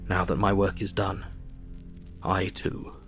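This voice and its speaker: A man playing a sombre, compassionate Victorian gentleman, narrating a diary in measured tones for an audio drama. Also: low-pitched, completely flat delivery, soft background music.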